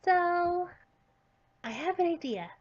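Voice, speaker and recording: High, loud anime schoolgirl, frantic and pleading, read by a woman, muffled lo-fi sound. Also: lively intonation, takes it slowly, full character voice. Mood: urgent. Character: panicky shouting green-haired schoolgirl.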